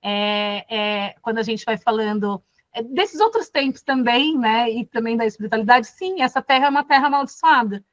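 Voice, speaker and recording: Soft-toned Brazilian woman teaching. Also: fairly high pitch, normal speed, no echo, highs cut off. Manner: thoughtful, many fillers and restarts. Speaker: course facilitator. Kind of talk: casual classroom talk.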